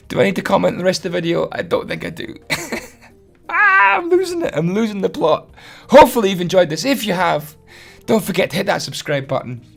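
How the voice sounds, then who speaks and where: A hoarse man, chatty and self-deprecating as he gives a casual building tutorial voice-over. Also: high-pitched, sing-song, normal speed, pauses often, fairly bright tone, soft background music. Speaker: Minecraft building tutorial host.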